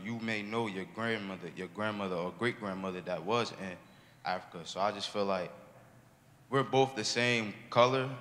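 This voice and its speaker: A man's voice: lowish voice, a bit bright. A young man speaking thoughtfully in a group discussion. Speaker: discussion participant. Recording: large hall reverb, faint noise.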